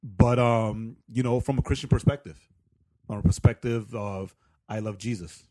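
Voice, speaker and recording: A man's voice: fairly low pitch, fairly even intonation, moderate pace, background noise. Laid-back man from New York. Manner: laid-back, laughs often, repeats words. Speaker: podcast co-host. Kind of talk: casual chat. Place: indoor room, shared studio mics.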